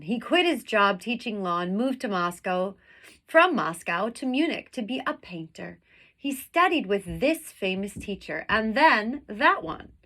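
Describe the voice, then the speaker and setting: A woman reading a picture book aloud like a teacher, with deliberate, dramatic phrasing that leans on the vivid words. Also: mid-range voice, fairly bright tone. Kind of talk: expressive storybook read-aloud.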